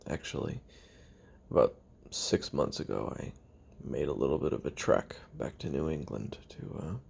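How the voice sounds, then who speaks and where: A man acts out a lonely, worn-out former hitman in his twenties, recording a confessional audio diary for a roleplay drama. His voice is extremely deep and husky, rising and falling in lively swings. He speaks haltingly and broodingly, trailing off into pauses. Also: exaggerated voice. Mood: wistful, resigned. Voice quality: gravelly, husky. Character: weary, lonely 29-year-old retired assassin confessing on tape.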